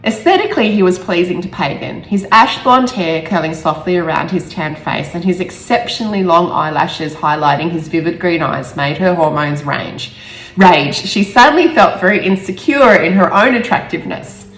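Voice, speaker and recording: A woman author speaking in a halting, emotional way, stumbling over words now and then. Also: on the low side, lively intonation, fairly bright tone. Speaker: author reading own novel aloud. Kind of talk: amateur storybook reading with personal asides. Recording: roomy echo with faint music.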